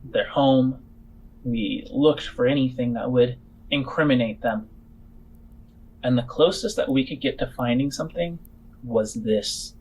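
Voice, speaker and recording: A man playing a shrewd, measured female alien informant in a tabletop game, speaking formally and haltingly over music. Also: mid-range voice, darkish tone, husky, rough recording. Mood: grave, deliberate.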